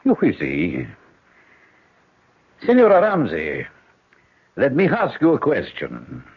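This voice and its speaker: An actor playing a suave, menacing ruler, falsely courteous and mocking in a radio drama. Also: male voice, medium pitch, wildly swooping pitch, slow pace, breathy edge, exaggerated voice. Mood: gloating, theatrical. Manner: silky, theatrical, elaborately polite. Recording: dull vintage radio broadcast.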